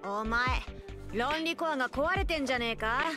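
An actress voicing a brash, bossy young girl who runs an industrial company, in a rough, commanding way of talking. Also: sits high, normal speed, music in the background, close to an everyday voice. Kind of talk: anime game dub.

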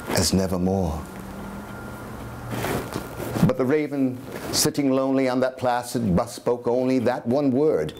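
A man in his sixties giving a theatrical poetry recitation, deliberate and full of dramatic pauses. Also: medium pitch. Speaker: dramatic reader. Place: dim candlelit room in an old house, live before a small audience. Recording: noisy live room recording.

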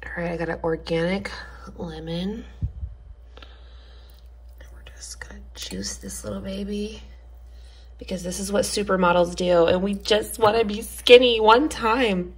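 A woman's voice: mid-range voice, unhurried, long pauses, slight background noise. A woman chatting casually to her phone camera in a travel vlog, playful and crude, with frequent jokes and laughter. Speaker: travel vlogger.

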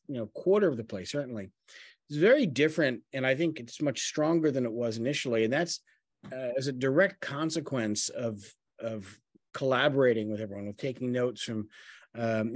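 Halting middle-aged man, dry close sound. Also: medium pitch, no room sound. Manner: halting, trailing off, self-effacing. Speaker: playwright and actor. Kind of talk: casual talkback discussion. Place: at home on a video call.

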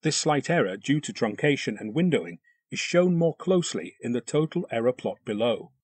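Man narrating a tutorial, lively pitch, dry sound. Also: breathy edge, dry recording. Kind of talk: scripted instructional narration.

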